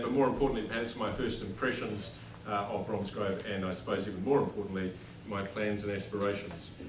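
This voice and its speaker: A middle-aged New Zealand headmaster delivers a dry, self-deprecating after-dinner speech, projecting his voice with no microphone. He is heard from well across a large dining hall. The room's echo and a steady murmur of noise surround him. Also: medium pitch. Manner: self-deprecating, dry jokes, speaks without a microphone to a room. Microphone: no microphone, recorded from across the room. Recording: distant echoey hall with background noise.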